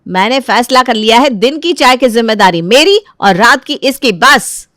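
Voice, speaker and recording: A woman's voice: a little high, lively intonation, moderate pace, fairly bright tone, no room sound, studio quality. A woman playing a resentful, stubborn elder daughter-in-law who grumbles and argues sarcastically in a dramatized moral story.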